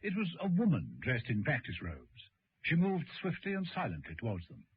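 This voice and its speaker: A man's voice: medium pitch, wildly swooping pitch, normal speed, breathy edge. A man giving scripted dramatic narration for a radio play. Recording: muffled, slightly noisy vintage radio recording.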